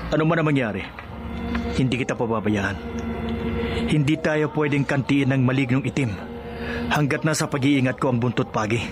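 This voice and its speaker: A man's voice: mid-range voice, normal speed, pauses often, natural voice. A man playing a protective, reassuring husband who stands firm against evil in a horror radio drama. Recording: dramatic music behind the voice.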